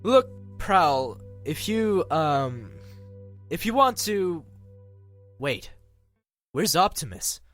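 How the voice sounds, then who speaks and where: An actor playing an exasperated, pleading robot leader who speaks with urgency and emphasis. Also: male voice, a little high, very animated, extremely slow, pauses often, fairly bright tone, faint music behind, full character voice. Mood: hesitant.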